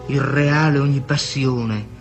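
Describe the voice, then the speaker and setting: A man reciting poetry in a solemn, grave and measured manner. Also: mid-range voice, fairly even intonation, creaky, faint music behind.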